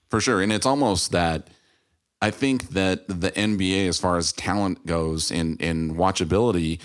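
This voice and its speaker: Male podcast co-host, very low register, casual, emphatic on key words. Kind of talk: casual chat.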